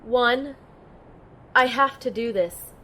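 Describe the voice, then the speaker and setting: A woman's voice: on the high side, slow pace, bright, forceful tone, slight background noise. A young woman playing a determined field medic and operative, narrating her mission in the first person for an audio drama. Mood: anxious, resolute.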